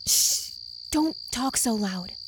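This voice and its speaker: Woman acting a wary, slow-speaking woman, noisy cricket-filled night. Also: medium pitch, wildly swooping pitch, creaky, heavily acted. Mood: wary, urgent. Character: wary, secretive woman in a dark forest. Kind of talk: horror audio drama acting. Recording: noisy, with insect and cricket ambience. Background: crickets and insects.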